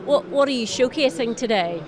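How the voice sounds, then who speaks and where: A woman's voice: a little high, lively intonation, brisk. Woman interviewer in a busy, crowded hall. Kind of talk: conversational trade show interview. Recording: busy trade show crowd noise.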